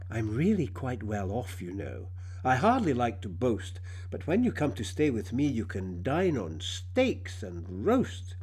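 A man's voice: mid-range voice, a little mellow, husky, heavily acted, poor audio. A man voicing a snooty, boastful female city mouse in a storybook verse, with a posh, affected, manner of speech.

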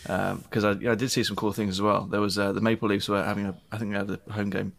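A man from Britain hosting a podcast, talking quickly in a low, gravelly voice. He chats casually and rambles, filling gaps with ums and poking fun at himself. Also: flat delivery. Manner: chatty, rambling, self-deprecating, with frequent fillers. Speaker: podcast host. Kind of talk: casual chat.

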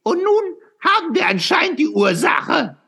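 A man voicing an earnest, questioning wanderer in a surreal audio drama, with a high, thin voice and a pleading, challenging manner. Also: very animated, moderate pace, gravelly, sharp tone, exaggerated voice. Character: earnest, questioning surreal wanderer seeking why time stopped.

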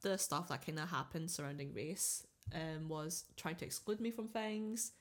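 A woman's voice: low-pitched, moderate pace. A young woman hosting a podcast in casual chat, teasing her co-host and laughing often. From Scotland.

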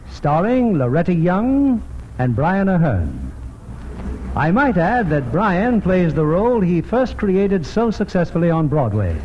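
A man with a polished, formal manner hosting a 1940s radio theatre in scripted announcer style. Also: fairly high pitch. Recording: dull, noisy 1940s radio broadcast.

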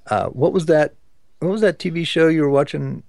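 A man's voice: fairly high pitch, a little fast, creaky, a little mellow, dry recording, studio quality. A man co-hosting a podcast, chatting casually with wry remarks and interjections. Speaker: podcast co-host. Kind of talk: casual discussion.

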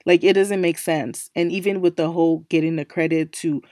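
A young woman talks through her solo podcast in a deep, low register, her voice still worn and hoarse from losing it days ago. She is chatty and emphatic, circling back to restate each point. The sound is close and dry, with no room around it. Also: fairly even intonation, fairly quick, darkish tone, dry recording. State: recovering from a lost voice, speaking low. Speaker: podcast host. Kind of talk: casual solo podcast chat.

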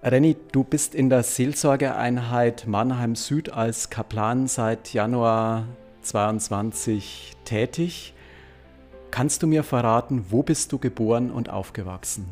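A man's voice: mid-range voice, slow pace, darkish tone, soft background music. A man hosting a podcast, asking a guest direct, friendly questions. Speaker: podcast host. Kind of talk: interviewing.

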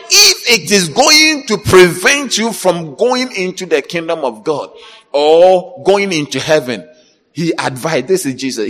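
A man preaching an animated sermon, quizzing the congregation and breaking into shouts and laughter. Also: high-pitched. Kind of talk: lively church sermon. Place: church. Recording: reverberant church hall with congregation.

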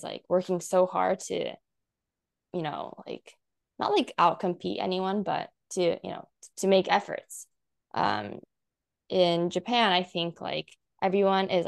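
Young woman guest, casual rambling talker, some vocal fry. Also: on the low side, a little mellow. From United States. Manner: rambling, many "like" fillers, frequent laughs. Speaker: guest content creator. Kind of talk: casual chat.

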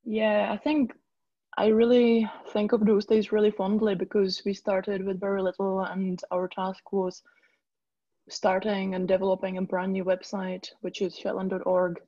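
Woman, flat steady voice. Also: medium pitch, moderate pace, no room sound, lo-fi sound. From Czech Republic. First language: Czech. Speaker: guest, tour business owner. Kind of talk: conversational interview.

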